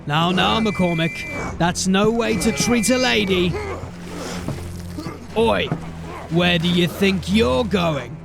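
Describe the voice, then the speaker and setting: An actor playing a blunt, commanding veteran sergeant of a city watch. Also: male voice, sits high, slow pace, gravelly, pauses often, heavily acted. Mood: urgent. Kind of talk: audio drama acting. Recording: music bed under the voice. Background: music.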